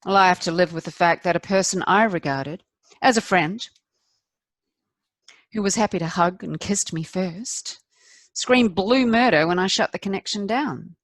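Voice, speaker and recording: Actress as candid middle-aged woman, lowish pitch, dry close sound, wry, rueful, self-deprecating, theatrical confession, deliberate pauses. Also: dry recording. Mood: confiding, defensive. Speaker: performer of a dramatic monologue.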